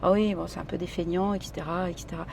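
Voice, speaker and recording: A woman's voice: lowish voice, fairly even intonation, fast talker, gravelly, noisy background. A middle-aged woman whose first language is Italian, a singer chatting at length with many fillers in a casual interview. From Italy. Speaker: guest singer.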